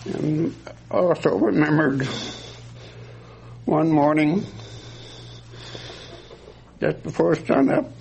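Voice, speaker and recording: An older veteran man recalling his service slowly, in halting storytelling at a community gathering. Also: fairly high pitch, creaky, a little mellow, a little hiss or hum, poor audio. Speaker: veteran honoree. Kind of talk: recalling memories.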